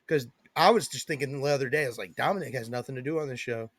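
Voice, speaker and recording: A man's voice: mid-range voice, fast talker, no echo. A man hosting a wrestling podcast in laid-back, slangy casual chat. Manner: laid-back, loose, slangy, lots of fillers. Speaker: podcast host.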